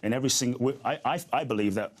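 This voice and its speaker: A man's voice: mid-range voice, very fast. A man, a guest speaker talking earnestly and reflectively in an interview, often repeating his key phrases. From grew up in London. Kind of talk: interview conversation.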